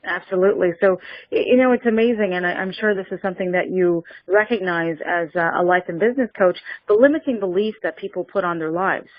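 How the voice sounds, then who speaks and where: A woman's voice: on the low side, fast. A woman hosts a radio show and greets her guest with enthusiastic energy, often restarting her sentences. Her voice comes through a tinny, phone-like line. The sound is dry, with no room echo. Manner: enthusiastic, many fillers and restarts. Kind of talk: radio interview hosting.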